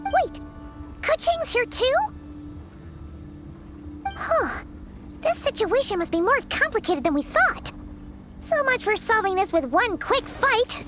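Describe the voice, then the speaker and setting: A woman with an American accent voicing a small, excitable, childlike fairy companion in a video game, squeaky, perky and blunt. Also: takes it slowly, pauses often, heavily acted. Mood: startled. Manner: perky, exclamatory, plain-spoken. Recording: music behind the voice. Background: game music.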